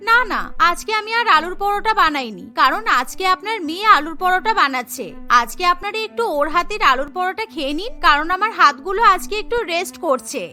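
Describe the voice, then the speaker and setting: Scheming young daughter-in-law for a cartoon, female actor: shrill-high, bright, emphatic. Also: lively intonation, fairly quick, few pauses, soft background music, exaggerated voice. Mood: defiant. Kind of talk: animated cartoon story voice acting.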